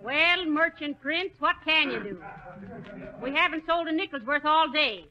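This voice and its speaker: A woman's voice: high voice, lively intonation, measured pace, pushed and bright, heavily acted. A woman playing a long-suffering, drily chiding shopkeeper's wife in a 1930s radio comedy. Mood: dry scolding. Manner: dry, corrective. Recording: noisy, muffled 1930s radio recording.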